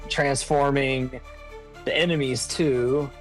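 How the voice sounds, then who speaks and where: A man's voice: medium pitch, fairly even intonation, slow, soft background music. Rambling, casual male tabletop player. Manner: rambling, frequent "like". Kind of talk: casual chat among players.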